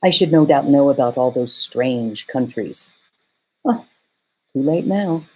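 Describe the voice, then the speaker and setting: A woman voicing a polite, excitable male faun in a storybook reading, with fussy, old-fashioned courtesy. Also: rumbling low, measured pace, husky, full character voice. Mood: wistful. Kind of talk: storybook read-aloud.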